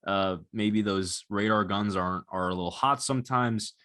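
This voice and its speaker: Male podcaster, twenties, fairly low voice. Conversational, analytical sports talk. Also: normal speed, a bit bright, smooth. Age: 20s. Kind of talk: casual analytical sports chat.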